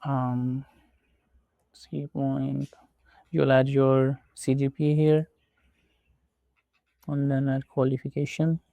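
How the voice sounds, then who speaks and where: A man from Pakistan, speaking English as a second language, guides a screen walkthrough step by step. His voice is gravelly and mellow, with a flat, even intonation. The sound is dry and close, with no room echo. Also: medium pitch. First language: not English. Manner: slow, methodical step-by-step instructions. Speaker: tutorial presenter. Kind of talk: screen-recorded walkthrough narration.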